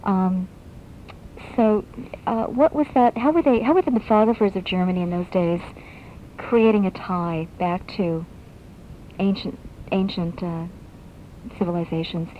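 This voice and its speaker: A female radio host, heard through a thin, narrow shortwave signal with faint noise. Her voice sits at an average pitch. She interviews conversationally, thinking out loud and stacking one curious question on another. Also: unhurried, pauses often. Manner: inquisitive, thinks aloud in piled-up questions. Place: radio broadcast, taped off air. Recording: muffled, noisy shortwave radio broadcast on cassette.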